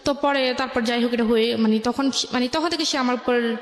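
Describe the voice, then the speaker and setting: A young adult woman with a Bangladeshi accent, telling her life story as an interview guest in a halting, rambling way. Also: on the high side. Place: echoey indoor radio studio. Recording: echoey room with long reverb.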